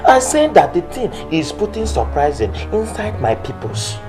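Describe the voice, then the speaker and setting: A woman's voice: mid-range voice, big pitch swings, moderate pace, lightly acted. A woman playing an anxious shopkeeper who speaks broken English, pleading and repeating words. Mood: worried. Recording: music playing underneath.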